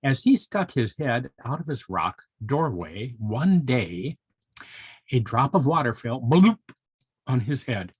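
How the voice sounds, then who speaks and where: An older man tells a children's story in a husky, very animated voice. He is playful and chatty, pausing for asides and questions to young listeners. The sound is dry and close. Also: medium pitch, no room sound. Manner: chatty, expressive, asks rhetorical questions.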